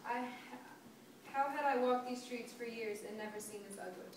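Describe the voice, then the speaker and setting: A woman's voice: on the high side, normal speed, natural voice. Young woman as shaken marcher doing poetic narration, far from the mic in a really echoey noisy room. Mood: shaken, reflective. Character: shaken young local marcher walking once-familiar streets. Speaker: student performer in a dramatic reading. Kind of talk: dramatic reading of poetic narrative. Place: large echoey indoor room, distant mic. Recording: distant, very echoey hall with noise.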